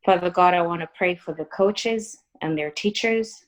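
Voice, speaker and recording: A woman leads a spoken prayer over a video call, earnest and reverent. Her voice sits very low. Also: normal speed. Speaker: prayer leader.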